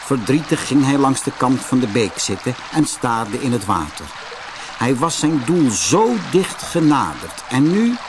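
A man's voice: medium pitch, big pitch swings, measured pace, background noise. A man reading a fairy tale aloud as a scripted audiobook narrator.

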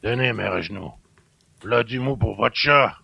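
A man playing a hateful, surly old country innkeeper in an audiobook, with a gravelly, rasping voice and rustic, menacing speech. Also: lowish voice, measured pace, no echo, highs cut off, heavily acted. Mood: gruff, sneering. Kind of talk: dramatised audiobook acting.

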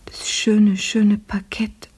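Radio play: frail, ailing young maid, self-effacing, flat and slow, breathy near-whisper. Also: female voice, mid-range voice. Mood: feverish, dreamy. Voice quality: husky, breathy, often falling to a whisper. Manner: slow, subdued, halting. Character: gravely ill young maidservant Marie, weary and self-effacing. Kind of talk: literary radio drama acting.

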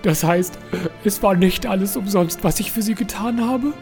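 A man's voice: sits high, moderate pace, a little mellow, faint music behind. A man playing a fussy, lovesick, scheming bureaucrat in a comic audio drama, officious and melodramatically pleading. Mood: hopeful.

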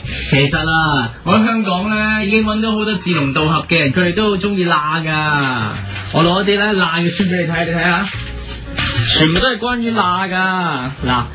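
A man's voice: sits high, expressive, normal speed. A man acting a stubborn, defensive young eccentric obsessed with gaps in a Cantonese comedy radio drama, with a Hong Kong accent, whiny and insistent. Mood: proud, eager.

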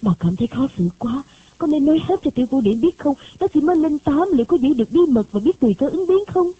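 A woman's voice: high-pitched, lively intonation, quick pace, dry recording, pitched above the performer's own voice. A woman voicing a sick, anxious elderly grandmother who gives insistent, urgent instructions in a storybook reading. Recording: tinny phone-like recording.

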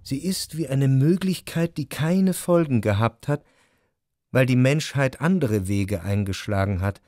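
An actor playing a reflective, refined writer narrating his memories in a measured, literary radio drama manner. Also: medium pitch, animated, a little mellow, lightly acted. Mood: contemplative. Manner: measured, contemplative. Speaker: first-person narrator. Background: music behind the voice.

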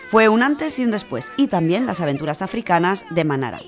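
Woman from spain w/ spanish accent doing casual radio chat, lowish voice, music playing behind. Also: very animated, a little fast. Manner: chatty, informal, colloquial. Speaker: radio show presenter. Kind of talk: casual radio chat, reading out listener contributions.

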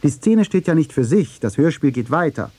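Mellow, slightly husky male feature narrator. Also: on the high side, big pitch swings, normal speed, no echo. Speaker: radio feature commentator. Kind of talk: scripted narration.

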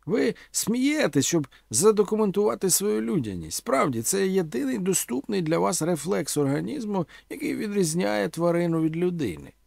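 A man's voice: sits high, big pitch swings, normal speed, gravelly, hardly any pauses, darkish tone, no room sound, clean studio sound, pitched above the performer's own voice, exaggerated voice. A man voicing a pompous, sardonic, tipsy vagabond intellectual who declaims in bookish, mocking phrases. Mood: mocking, lofty.

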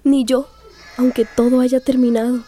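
A woman's voice: sits high, flat delivery, moderate pace, warm tone, slight background noise, close to an everyday voice. An actress playing a timid, wounded teenage country girl in a radio drama, plaintive and meek. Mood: relieved.

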